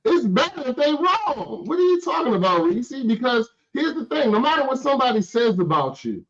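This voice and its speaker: A man's voice: extremely high pitch, big pitch swings, fairly quick, fairly bright tone. A man in casual, argumentative chat, blunt and emphatic, repeating phrases to drive his point home, on lo-fi stream audio. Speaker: show panelist.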